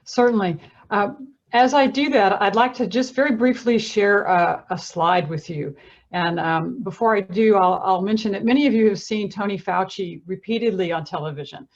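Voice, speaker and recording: A woman's voice: medium pitch, normal speed. Woman panelist, dull lo-fi audio. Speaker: panelist, academic infectious disease expert. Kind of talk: explanatory presentation with slides.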